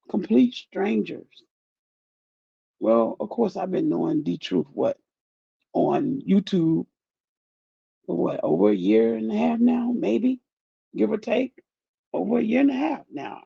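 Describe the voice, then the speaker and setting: A grieving man with a groggy, deep voice, talking in a rambling, heartfelt way as he thanks his viewers in a casual chat. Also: vocal fry, slightly rough, no echo, dull audio. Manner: soft-spoken, rambling, heartfelt. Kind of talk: casual direct-to-camera chat.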